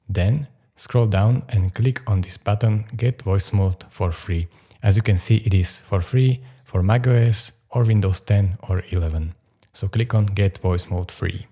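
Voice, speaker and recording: Male tutorial narrator, deep and soft-toned, giving step-by-step software instructions. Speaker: tutorial host.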